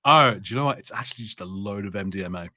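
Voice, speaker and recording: A man's voice: lowish voice, very fast, pushed and bright, no room sound, studio quality. A man co-hosting a podcast, chatting casually and jokily about films, with some swearing. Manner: informal, jokey, sweary. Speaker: podcast co-host. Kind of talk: casual film chat on a watch party.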